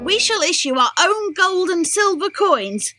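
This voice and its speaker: Haughty queen, regal, slow, high pitched. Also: big pitch swings, music in the background, full character voice. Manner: regal, self-satisfied. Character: vain haughty queen, female.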